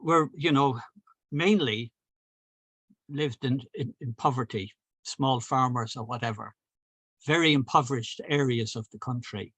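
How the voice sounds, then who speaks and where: A man's voice: medium pitch, unhurried, pauses often, fairly bright tone, breathy edge, no echo. Man answering a question on an online call, dry close sound, thoughtful, rewords stuff as he goes. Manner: thoughtful, rephrases as he explains. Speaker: guest speaker. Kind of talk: informal explanatory answer in a discussion.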